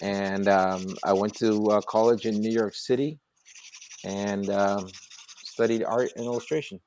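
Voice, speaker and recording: A man's voice: lowish voice, fairly even intonation, measured pace, a little mellow, slight background noise, poor audio. A middle-aged man from Cuba speaking as a panel guest over a video call, hesitant with many fillers. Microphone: video call with earphones.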